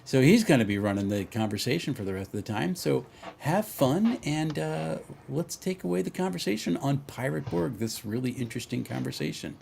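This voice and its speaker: A man's voice: mid-range voice, lively intonation, a little fast, vocal fry, a little mellow, a little hiss or hum. A man hosting a casual livestream chat and introducing his guests. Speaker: host.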